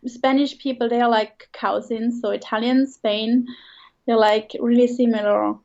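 A woman's voice: a little high, fairly even intonation, moderate pace, vocal fry, a little mellow, muffled recording. A woman in her thirties from Switzerland, a guest chatting casually in an interview, hesitant and often restarting with light laughs.

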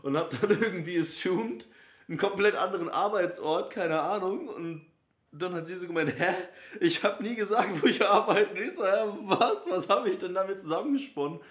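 A young man tells a clumsy story, tripping over his words and correcting himself again and again. His voice is a touch high, and the room throws back an echo. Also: fairly quick, a bit bright. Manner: rambling, self-correcting, laughing. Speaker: podcast co-host. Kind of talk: casual storytelling chat. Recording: echoey room on a cheap mic.

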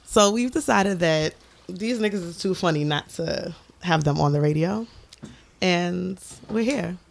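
A woman's voice: fairly low pitch, unhurried, vocal fry, slight background noise. A podcast host from the Bronx, in her thirties, chatting casually and bluntly with friends, swearing and joking. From the Bronx, New York. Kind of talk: casual chat.

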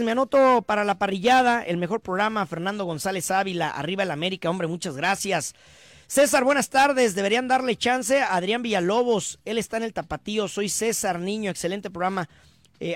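A Mexican man hosting a sports radio show, reading listener messages in brisk, chatty, teasing banter. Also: high-pitched, no room sound. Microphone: studio microphone.

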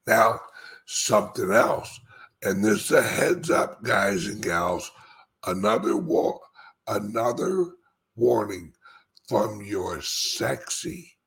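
Male crypto commentator, raspy and gravelly, very slow and emphatic delivery, informal solo address. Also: lowish voice, animated, fairly bright tone. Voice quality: speech impediment. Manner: emphatic, repeats phrases with dramatic pauses. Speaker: cryptocurrency commentator and show host. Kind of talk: informal monologue commentary to followers.

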